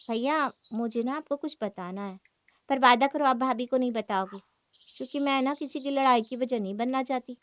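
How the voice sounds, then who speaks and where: A woman's voice: sits high, moderate pace, a bit bright. A woman voicing a jealous, sulky young married woman in a cartoon moral story, complaining and argumentative. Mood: sly, conspiratorial.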